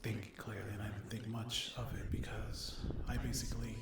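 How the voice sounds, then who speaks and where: A man speaking softly to viewers in an ASMR style, hesitant and halting, often slipping into a whisper. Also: lowish voice, level tone. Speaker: ASMR creator addressing viewers. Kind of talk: soft-spoken ASMR update. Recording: echoey room with loud noise, clicks.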